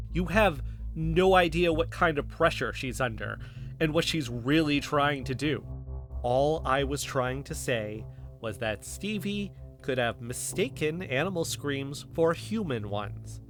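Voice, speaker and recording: A man's voice: mid-range voice, expressive, a little slow, a bit bright, soft background music. An actor playing a defensive, loyal male investigator in an audio drama styled as a podcast. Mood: indignant, defensive.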